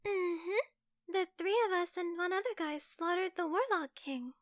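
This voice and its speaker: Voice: very high voice, a little slow, no echo, studio quality, pitched above the performer's own voice. A narrator voicing a cheerful, sweet young girl gamer in a fantasy audiobook. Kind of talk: audiobook character acting in a fantasy story.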